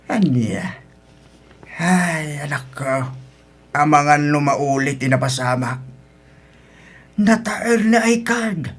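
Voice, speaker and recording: A man's voice: on the high side, expressive, slow pace, long pauses. A man acting in an Ilocano radio drama as a wary, disapproving older father of a grown daughter, speaking with an Ilocano accent.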